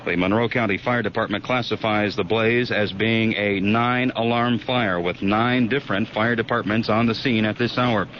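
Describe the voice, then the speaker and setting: Low-voiced male radio newsreader. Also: fairly even intonation, normal speed, few pauses. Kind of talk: urgent scripted news bulletin. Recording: dull lo-fi radio, faint noise.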